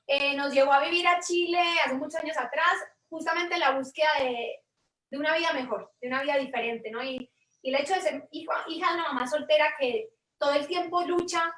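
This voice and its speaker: Earnest high-voiced Colombian woman, roomy. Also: fairly bright tone, distant mic. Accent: Colombian Spanish, Medellín. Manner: earnest, thoughtful, with many restarts and tag questions. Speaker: guest investor. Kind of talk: reflective interview storytelling.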